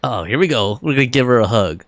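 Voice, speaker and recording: A woman's voice: extremely low pitch, expressive, quick pace. An adult woman giving casual live game commentary as a streamer, with informal, slangy reactions. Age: adult, older than early twenties.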